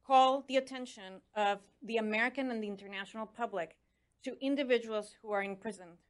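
A woman whose first language is Spanish, speaking with a Spanish accent as she gives a prepared speech as a commissioner, earnest and urging. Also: fairly high pitch. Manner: earnest, urging, stumbles over foreign names. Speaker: commissioner on religious freedom.

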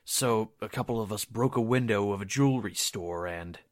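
Man playing young corporal, earnest, indignant, slightly low voice, dry recording, 1940s radio drama. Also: normal speed, no room sound, natural voice. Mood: flustered, defensive. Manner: earnest, stammering under pressure.

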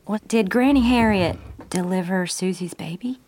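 A woman's voice: mid-range voice, normal speed, a little mellow, a little hiss or hum, close to an everyday voice. An actress playing a dutiful young mother with a Southern US accent, polite and coaxing, in an old-time radio style drama. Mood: confiding.

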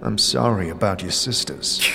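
A man playing a sombre, resolute but compassionate scarred wanderer in a fantasy audio drama, with earnest, measured speech. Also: fairly low pitch, creaky, over music. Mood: sombre concern.

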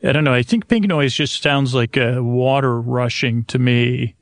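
A man hosting a sleep podcast in a creaky, gravelly voice, meandering and hesitant in a soothing, lulling bedtime monologue. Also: medium pitch, no room sound, studio quality. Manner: meandering, halting, hesitant, tangent-prone. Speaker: podcast host.

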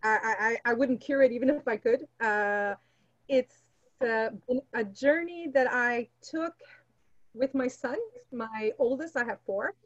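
Female podcast guest, Portuguese mother tongue, high pitch, candid conversational delivery, slightly muffled mic. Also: a little slow. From Canada. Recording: roomy, slightly dull remote microphone.

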